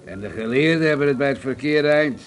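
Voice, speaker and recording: An actor playing a crude, boozy, domineering older man in a Dutch radio play, gruff and mocking, given to swearing bluster. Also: medium pitch, fairly quick, lightly acted. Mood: sneering bluster.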